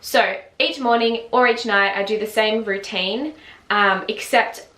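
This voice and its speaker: Female, 20s, relaxed filler-heavy home vlog delivery, mid pitch, roomy sound. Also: a little slow. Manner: chatty, lots of "like" fillers, laughs often. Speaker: beauty vlogger. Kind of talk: casual chat to camera. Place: home, just woken up. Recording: roomy echo.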